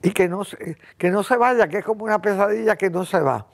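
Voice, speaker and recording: A woman's voice: medium pitch, a little fast. Impassioned Cuban woman in her eighties. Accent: Cuban Spanish. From Cuba. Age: 80s. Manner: impassioned, rambling, frequent throat-clearing. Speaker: host. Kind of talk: casual conversation.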